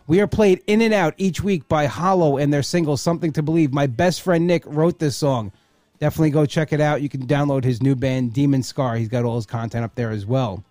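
A man's voice: on the high side, dark tone, no echo. A man of forty hosting a podcast, talking casually and rambling with many restarts. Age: 40s. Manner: rambling, restarts often, says like a lot. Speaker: podcast host.

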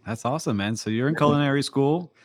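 A man's voice: medium pitch, fast, dry recording. A man hosting a podcast interview, asking questions in an informal, familiar chat style.

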